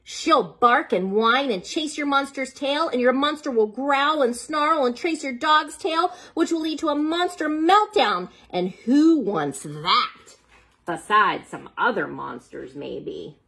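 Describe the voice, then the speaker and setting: A woman reading picture books aloud to young children, playful and dramatic, pausing for chatty asides and questions to the listener. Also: high-pitched, animated, fairly bright tone, husky.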